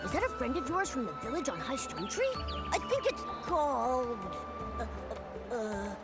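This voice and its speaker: A woman's voice: high-pitched, wildly swooping pitch, fast talker. A woman voicing a small cat-like companion creature in a video game, cheeky, loyal and blunt. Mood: puzzled. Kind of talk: animated video game character acting. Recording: loud music behind the voice. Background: game music.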